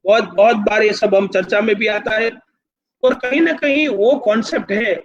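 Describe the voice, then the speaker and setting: A man's voice: sits high, normal speed, highs cut off. A man with a Gujarati accent giving an explanatory, persuasive lecture, emphatic, repeating phrases and mixing in English terms. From Gujarat, India. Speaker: university vice-chancellor, speaker.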